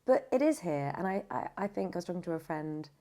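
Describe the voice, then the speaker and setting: A woman's voice: on the low side, lively intonation, fast talker, warm tone. A woman chatting thoughtfully as an interview guest, explaining her views in a conversational way. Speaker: podcast guest, audiobook narrator and voice actor.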